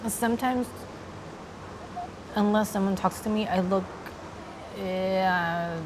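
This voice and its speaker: A young adult woman from the Philippines with a Filipino accent, answering interview questions in a soft, hesitant way with many pauses. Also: mid-range voice, background noise. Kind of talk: casual interview chat.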